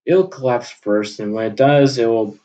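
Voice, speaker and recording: A man giving a rambling, unscripted monologue for an audio log, halting often and letting his sentences trail off. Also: mid-range voice, lively intonation, warm tone, dull audio. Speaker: vlogger recording an audio log.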